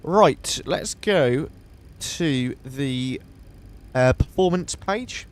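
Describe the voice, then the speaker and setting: A man's voice: a little high, animated, extremely slow. Sniffly man talking through a sim flight. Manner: methodical, thinks aloud step by step. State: sniffly from a coming cold. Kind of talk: casual live walkthrough of a flight simulator.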